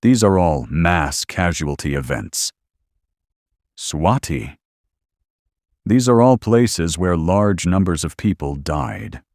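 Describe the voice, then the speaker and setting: Low-voiced, animated man reacting nervously. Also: slow pace, pauses often, no room sound, clean studio sound. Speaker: podcast co-host. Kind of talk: scripted reactions to a horror story.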